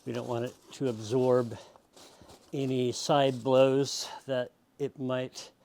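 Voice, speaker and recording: Slow-talking man giving a casual tutorial. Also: medium pitch, little pitch movement, a little mellow. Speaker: demonstrator in a how-to video. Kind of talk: casual step-by-step tutorial talk.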